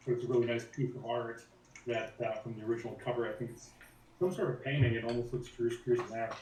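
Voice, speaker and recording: A man's voice: medium pitch, darkish tone, far from the mic. A man speaks easily and plainly as a panel guest on a video call. His voice sits back from the mic in a roomy space, with faint hiss underneath. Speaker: former art director, panel guest. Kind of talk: casual chat.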